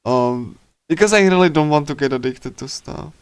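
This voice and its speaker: A man with a fairly high, clean voice chats casually as a livestreamer. His delivery is hesitant and wandering, full of filler words, and the sound is close and dry. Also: expressive, normal speed, clean tone, no echo. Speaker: livestreamer reading a visual novel. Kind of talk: casual rambling stream chat.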